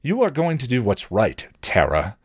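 A man voicing a stern, controlling middle-aged father with a gruff, insistent manner in a story reading. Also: on the low side, lively intonation, moderate pace, dry recording, studio quality.

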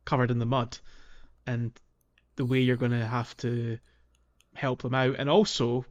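A man's voice: mid-range voice, flat delivery, measured pace, muffled recording. A man co-hosting a casual video game podcast, talking in an informal, somewhat hesitant way. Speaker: podcast host.

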